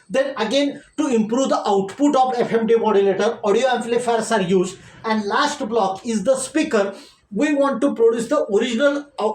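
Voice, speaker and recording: A woman teacher explains technical material step by step. Her voice sits on the high side and carries a clearly roomy echo. She is methodical, often restating a point in other words. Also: darkish tone. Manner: methodical, repeats and rephrases points. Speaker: engineering teacher, tutorial presenter. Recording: roomy, echoey voice recording.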